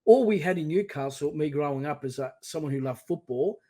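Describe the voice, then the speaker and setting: Animated Australian man in his sixties. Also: fairly high pitch, fairly quick. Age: 60s. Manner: animated, acts out encouraging voices. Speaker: podcast guest. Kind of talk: casual interview.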